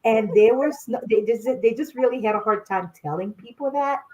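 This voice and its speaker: A woman's voice: on the high side, a little fast, a little hiss or hum. A middle-aged woman from Haiti, raised in Boston, who speaks as a guest expert in a casual podcast conversation, telling personal stories with frequent laughter. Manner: animated storyteller, laughs often, addresses listeners as y'all. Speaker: guest, clinical sexologist.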